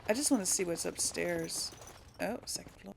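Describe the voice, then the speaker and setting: A woman's voice: fairly low pitch, normal speed, vocal fry, a little hiss or hum. A woman hosting a casual video game let's play, thinking aloud as she explores, with faint game sound behind her.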